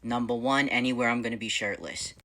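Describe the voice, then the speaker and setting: A man in his thirties with a fairly high, bright voice that has a crisp edge. He talks to camera in a casual, bubbly way. His storytelling is animated and lively. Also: fairly quick. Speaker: vlogger answering viewer questions.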